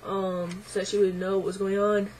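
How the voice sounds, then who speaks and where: A young man talking hesitantly to camera in a casual video diary, his voice midway through deepening and a little unsettled, with many ums and likes. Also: flat delivery, moderate pace, a little hiss or hum. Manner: hesitant, lots of ums and likes, quiet and reflective. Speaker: vlogger.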